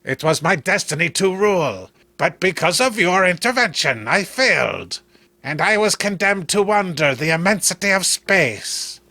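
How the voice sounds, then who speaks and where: A man's voice: high-pitched, lively intonation, moderate pace, pushed and bright, dry recording, exaggerated voice. A man voicing a vengeful exiled giant alien titan who proclaims pompously and menacingly in a horror comic. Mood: bitter, grandiose.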